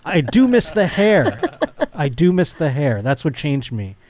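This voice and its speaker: A man's voice: a little high, sing-song, a little slow, darkish tone. Rambling, playful man hosting a podcast. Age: middle-aged. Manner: rambling, self-deprecating, playfully theatrical. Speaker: podcast host. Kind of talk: casual comedic podcast chat.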